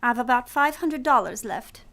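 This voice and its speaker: An actress playing a manipulative femme fatale in trouble in an old-time radio melodrama, with a theatrical, pleading delivery. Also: female voice, on the high side, animated, normal speed, fairly bright tone. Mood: anxious, evasive.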